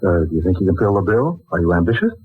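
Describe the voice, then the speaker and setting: A man's voice: low voice, very animated, racing. An actor playing a breezy, kindly young man down on his luck, folksy and teasing, in 1940s radio style. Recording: muffled old 1940s radio recording.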